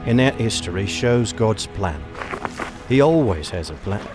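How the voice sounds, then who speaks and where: An actor playing a warm, hardworking nineteenth-century farmer father in a family audio drama, with a folksy, hearty manner. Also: lowish voice, animated, a little slow. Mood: warm, proud. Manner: folksy, hearty, rustic turns of phrase. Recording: loud music behind the voice.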